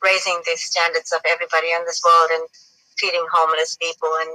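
A woman's voice: fairly low pitch, level tone, quick pace, sharp tone, dry recording, low-quality recording. A middle-aged woman from South Africa with a mixed South African, Indian and Australian accent, chatting as an interview guest, laughing often and repeating words. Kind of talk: casual interview chat.

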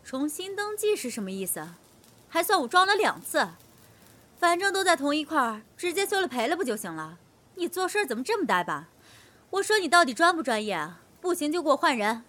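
Quarrelsome, haughty female customer: fast scolding delivery, high swooping voice, audio drama. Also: sing-song, a bit bright. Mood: angry, scolding. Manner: scolding, demanding, indignant.

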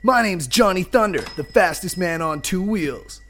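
A man's voice: sits high, lively intonation, normal speed, fairly bright tone, faint music behind, pitched above the performer's own voice. A man playing a cocky, brooding biker drifter in an amateur radio play parody, with dramatic hard-boiled delivery. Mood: cool, terse.

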